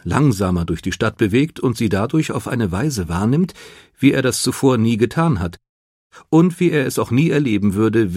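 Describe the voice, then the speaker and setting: A man narrating a scripted biographical documentary in a measured manner. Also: on the low side, darkish tone, dry recording, clean studio sound.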